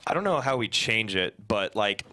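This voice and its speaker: A man's voice: fairly low pitch, sing-song, normal speed, sharp tone, slight background noise. A man giving frank, casual critique as a panelist in a script discussion. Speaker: script table read panelist.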